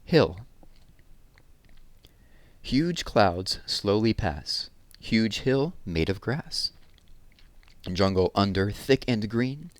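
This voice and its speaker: A man with a low voice hosts a children's read-aloud in a close, dry recording. He gives verse an expressive delivery and talks to young listeners in simple, casual terms. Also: drawn-out words, pauses often, dry recording. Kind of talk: reading children's poems aloud with casual asides.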